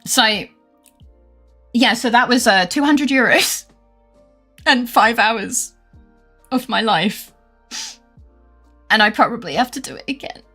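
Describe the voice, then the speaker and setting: A young woman streamer chatting casually while gaming, animated and swearing freely with frequent laughs. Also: a little high, slow pace, long pauses, faint music behind. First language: English.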